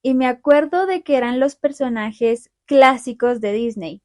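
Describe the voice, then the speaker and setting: Colombian woman, clear podcast host. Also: high voice, unhurried, darkish tone, dry recording, clean studio sound. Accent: Colombian, Bogotá. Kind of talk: friendly podcast chat for Spanish learners.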